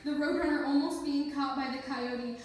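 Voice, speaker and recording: Female spoken-word poet, high pitch, heard from a distance in a heavily reverberant room. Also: fairly even intonation, moderate pace, darkish tone. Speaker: slam poet. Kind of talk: spoken-word poetry performance. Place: echoey indoor event space, live performance. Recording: very echoey room, distant, faint noise.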